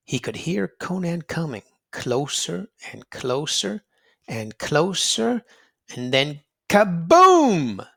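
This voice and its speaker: Male children's read-aloud host. Slightly high register, highly varied intonation, theatrical emphasis and drawn-out key words. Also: gravelly, fairly bright tone.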